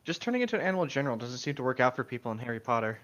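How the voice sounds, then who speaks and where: A man's voice: medium pitch, sing-song, very fast, a bit bright, lo-fi sound. A man chatting informally as a co-host, chipping in with casual, sweary reactions. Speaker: co-host reading and reacting to fan fiction. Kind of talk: casual chat and commentary.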